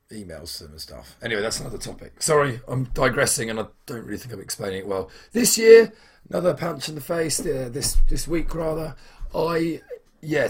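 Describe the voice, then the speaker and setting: A young man with a husky, hoarse voice, chatting casually in a weekly trading vlog, rambling with many fillers and restarts. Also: mid-range voice. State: hoarse from shouting at football. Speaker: trading vlogger.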